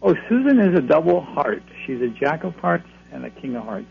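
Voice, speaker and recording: Male astrologer guest, reassuring, gravelly, phone-line sound. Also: on the high side, sing-song, fairly quick. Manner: reassuring, advisory. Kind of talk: call-in radio readings. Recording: phone line, faint hum.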